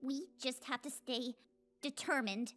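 A woman voicing an anxious, gentle female reptile monster scientist in a comic dub, pleading in a nervous, halting stammer. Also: high voice, steady tone, soft background music. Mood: gentle reassuring.

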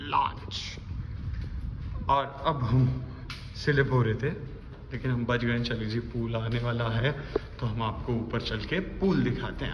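A man's voice: medium pitch, in a large hall. A young man, chatting playfully as he vlogs a hotel tour on a handheld phone. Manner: chatty, playful, joking with his friend. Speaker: vlogger. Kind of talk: casual vlog narration. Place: hotel corridors and gym, indoors. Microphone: phone camera held by hand. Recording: dull phone audio with noisy background.

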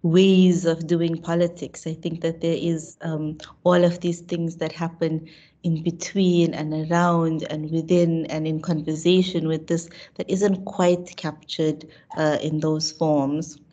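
A woman's voice: low voice, little pitch movement, unhurried, mellow. A woman in her thirties with a South African accent and a slight nasal cold, answering thoughtfully on a video call with many fillers and restarts. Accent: South African English. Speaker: panelist, lecturer. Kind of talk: webinar panel answer. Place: room at home with bookshelves. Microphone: laptop webcam video call. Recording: dull lo-fi video call audio.

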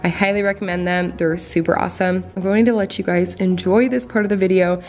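A woman's voice: fairly low pitch, fairly even intonation, fairly quick. A young adult woman chatting casually to viewers in a voice-over, enthusiastic and friendly. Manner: chatty, enthusiastic, addresses viewers as you guys. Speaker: home vlogger. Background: soft background music.

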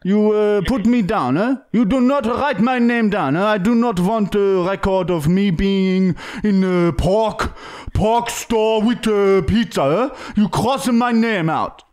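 A man voicing a shifty, suspicious foreign man who speaks halting, demanding broken English in a comedy prank call. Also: high voice, a little mellow. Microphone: close boom-arm podcast microphone.

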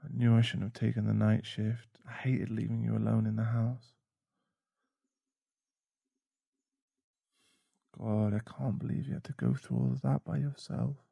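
Man playing protective boyfriend, ASMR roleplay, gravelly soft voice, soothing and halting, dry close slightly dull recording. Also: on the low side, dry recording, heavily acted. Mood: tender, regretful. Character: caring, protective, shaken boyfriend comforting a partner. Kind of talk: ASMR boyfriend roleplay, intimate hushed talk. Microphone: close mic.

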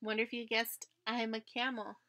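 A woman's voice: on the high side, little pitch movement, moderate pace, sharp tone. A woman in her thirties reading a picture book aloud to children as a teacher, prompting with questions and wondering aloud. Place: indoors in front of a dark curtain.

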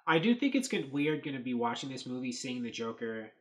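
A man in his thirties talks through movie photos in an easygoing, rambling way, pausing, restarting and filling gaps with "you know" and "like." His voice sits at an ordinary middle pitch. He speaks close to a desk webcam in a small dark room. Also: sing-song. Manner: rambling, thinking aloud with many fillers. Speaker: host. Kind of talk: casual commentary on set photos.

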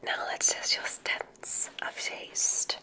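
A woman whispers softly and very slowly in a witch-themed ASMR roleplay. Her breathy delivery is gentle and encouraging, with each phrase set down deliberately to soothe. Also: low-quality recording.

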